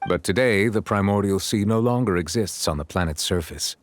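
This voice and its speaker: A man performs a dignified chief judge in a fantasy video game. His voice sits low, and he speaks with solemn courtesy in measured, erudite phrases. Soft music plays underneath. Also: natural voice. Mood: grave, courteous. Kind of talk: scripted game dialogue.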